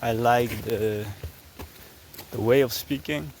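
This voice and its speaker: Slow-talking man. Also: mid-range voice, darkish tone, slight background noise. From Belgium. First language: Dutch. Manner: chatty and unhurried, with many fillers and restarts. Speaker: vlogger. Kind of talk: casual travel vlog. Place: outdoors on a rural hiking trail. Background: faint outdoor ambience.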